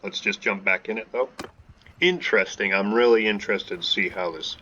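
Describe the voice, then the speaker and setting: A man's voice: lowish voice, normal speed, bright, forceful tone, dull audio, a little hiss or hum. A man casually commentating his gameplay as a channel host, thinking aloud with laid-back restarts and fillers. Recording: game music playing under the voice. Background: game music.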